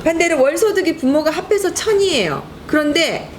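Middle-aged lady lawyer explaining stuff, bouncy higher voice, bit of faint hiss. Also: moderate pace. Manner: clear explaining, lively. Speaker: lawyer and host. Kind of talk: explanatory talk show chat.

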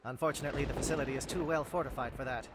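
An actor playing a precise, formal alien captain in a video game, brisk and clipped. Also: male voice, mid-range voice, steady tone, natural voice. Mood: businesslike. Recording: noisy game ambience behind.

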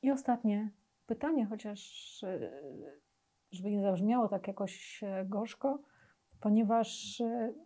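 A woman's voice: medium pitch, a little slow, warm tone. A middle-aged woman interviewing in a conversational style, phrasing her questions carefully and tentatively. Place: small furnished living room, indoors.

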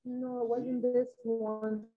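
Middle aged lady doing a live cooking demo, really slow talker, muffled lo-fi sound. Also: fairly high pitch, flat delivery, soft background music. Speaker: cooking class instructor. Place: indoor kitchen with steel fridge and counters.

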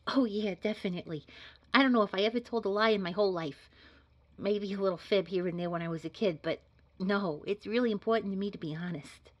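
A woman's voice: medium pitch, quick pace, highs cut off, lightly acted. A woman playing an earnest, modest job candidate in an audio drama, talking in a chatty, hesitant, polite way. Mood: nervous, earnest. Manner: hesitant, rambling, informal, polite. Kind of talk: naturalistic scripted audio drama dialogue.

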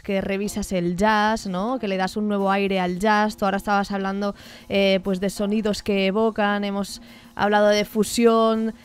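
Clear, smooth-voiced woman. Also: mid-range voice. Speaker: radio co-host. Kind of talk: conversational radio questioning. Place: radio studio.

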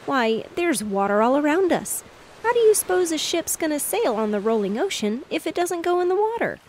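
A woman reading aloud, voicing an excitable, bossy young boy who plays ship captain. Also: mid-range voice, moderate pace, a little hiss or hum. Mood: eager. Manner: eager and insistent.

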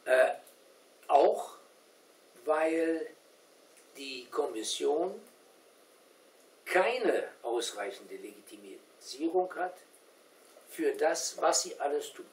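An older man, a guest lecturer, explaining his points in a discussion in a halting, deliberate way, often correcting himself. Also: a little high, animated. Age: older, 60s or 70s. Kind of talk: discussion after a talk, explaining. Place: small indoor meeting room with seated audience. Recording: roomy, distant camera mic, background hiss.

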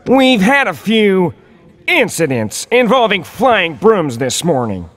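A man's voice: high-pitched, very animated, slow pace, fairly bright tone, exaggerated voice. A man voicing a fussy, reassuring monster school principal with a formal announcing manner in a children's cartoon. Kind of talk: animated children's story dialogue.

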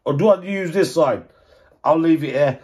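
A man's voice: fairly high pitch, normal speed, a little mellow. A middle-aged man chatting casually as he cooks in his home kitchen, thinking aloud and joking. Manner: chatty, thinks aloud, jokes and asks himself questions. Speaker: vlogger cooking at home. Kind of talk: casual chatty cooking narration. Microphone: camera over his shoulder, moving around the counter. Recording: faint background noise, frying sounds.

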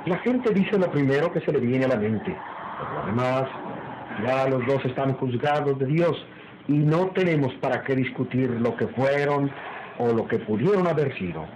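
A man acting as a dignified master of the house in an old radio drama. He is grave, pious and theatrical, with a mid-pitched voice on a clearly noisy recording. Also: animated, moderate pace, natural voice. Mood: grave, reproving.

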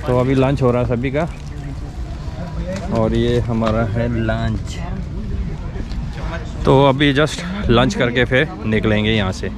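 A man's voice: mid-range voice, takes it slowly, long pauses. A middle-aged man vlogging outdoors at a go-kart track, chatting directly to his viewers while holding a close action camera. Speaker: motorcycle vlogger. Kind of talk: casual vlog narration. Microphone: action camera held close or helmet-mounted. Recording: outdoor recording with traffic noise.

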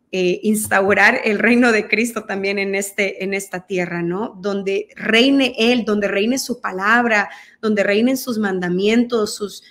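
A woman in her thirties from El Salvador, speaking Spanish with a Mexican-sounding accent, talking earnestly on a video call as a guest, with many fillers and restarts. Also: medium pitch. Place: dim room at home. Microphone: video call laptop mic.

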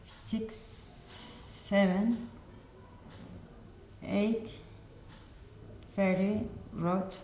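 A middle-aged woman, an adult English learner, answering and reading haltingly word by word, correcting herself as she goes. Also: mid-range voice, steady tone, warm tone, echoey room, slight background noise. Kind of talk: hesitant answers in basic English. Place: small studio set, indoors.

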